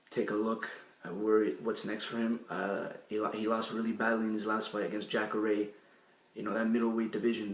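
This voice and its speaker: A hoarse young man hosts a casual sports recap, his voice low and fairly flat. He talks loosely, with plenty of fillers and personal takes. The sound is roomy and echoey, picked up from a distance. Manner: conversational, filler-heavy recap with personal opinions. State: hoarse, partly lost voice. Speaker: sports show host. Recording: echoey room, away from mic.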